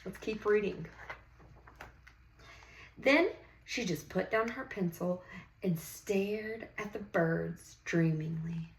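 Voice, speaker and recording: A woman's voice: medium pitch, slow pace, long pauses, slight background noise, rough recording. A woman teacher reading a picture book aloud to young children, expressively and with encouraging questions and praise. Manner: expressive storybook delivery, drawing out key words. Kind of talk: interactive classroom read-aloud with questions and praise.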